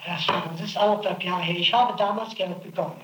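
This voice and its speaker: Defensive man, high voice, quick talk. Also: fairly even intonation, a bit bright, roomy sound, slight background noise, rough recording. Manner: defensive, evasive answers. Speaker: witness under interrogation. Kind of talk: archival interrogation recording.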